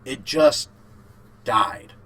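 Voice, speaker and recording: Rambling man in casual podcast chat. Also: fairly bright tone. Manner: rambling, repeats phrases, halting. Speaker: podcast co-host. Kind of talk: casual chat about video games.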